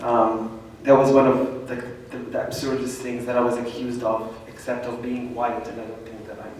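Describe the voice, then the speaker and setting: Man, public speaker, halting, lots of fillers, distant mic, roomy echo. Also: medium pitch, level tone, a little mellow, slight background noise. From Lebanon. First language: Arabic. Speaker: event speaker. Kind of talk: public talk. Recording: echoey room, distant mic.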